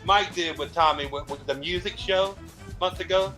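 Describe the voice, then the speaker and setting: A man's voice: high voice, normal speed, bright, forceful tone, soft background music. A man co-hosting a casual podcast chat, joking around and swearing casually. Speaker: podcast co-host.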